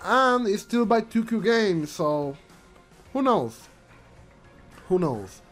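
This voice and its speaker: A man's voice: sits high, big pitch swings, slow pace, fairly bright tone, soft background music. A man hosting a casual commentary vlog, stuffy and congested from allergies, rambling and restarting his sentences, with English that is not his first language. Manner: rambling, repeats himself, frequent restarts. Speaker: channel host.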